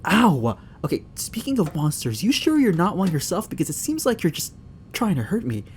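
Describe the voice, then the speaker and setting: A man's voice: high-pitched, big pitch swings, normal speed, a little mellow, a little hiss or hum. A young man acting a shy, flustered barista who is secretly an agent in an ASMR roleplay drama, hesitant, stammering and apologetic. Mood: pained, indignant. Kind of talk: ASMR roleplay, acted dialogue spoken to the listener.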